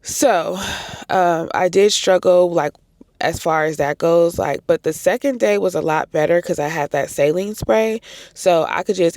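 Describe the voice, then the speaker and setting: Raspy, gravelly American woman chatting. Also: low voice, darkish tone, no echo, studio quality. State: hoarse from recent congestion and cough. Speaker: travel vlogger. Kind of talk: casual chatty trip recap to viewers.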